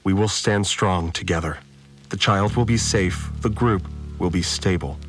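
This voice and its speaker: An actor playing a stern, manipulative priest who speaks with strict, controlled command in an audio drama. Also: male voice, low-pitched, unhurried, soft background music. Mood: coldly persuasive. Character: stern, manipulative male priest making cold demands.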